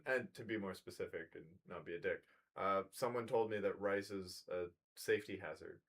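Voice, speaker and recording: A man in his thirties co-hosting a casual chat, dry and teasing in a low-key way. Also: normal speed. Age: 30s. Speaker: podcast co-host.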